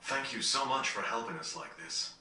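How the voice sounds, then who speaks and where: An actor playing a polite, refined, reserved gentleman in a video game, speaking with formal courtesy. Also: mid-range voice, expressive, normal speed, heavy reverb, far from the mic, a little hiss or hum. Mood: gracious. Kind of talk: video game cutscene acting.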